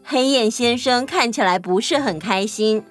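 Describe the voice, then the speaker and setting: 一位女性为儿童故事做旁白，按稿讲述。. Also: female voice, fairly high pitch, normal speed. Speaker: children's story narrator. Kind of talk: scripted children's story narration. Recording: music behind the voice.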